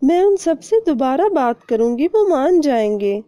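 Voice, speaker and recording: A woman's voice: high voice, big pitch swings, measured pace, warm tone, dry recording, lo-fi sound, voice pushed up. A woman voicing a kind, caring little female bird in a cartoon story, speaking earnestly and politely. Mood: firm, reassuring.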